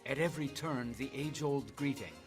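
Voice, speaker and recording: A man reading a poetic scripted tourism promo in a slow, measured delivery. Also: mid-range voice. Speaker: promotional video narrator. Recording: narration over loud music.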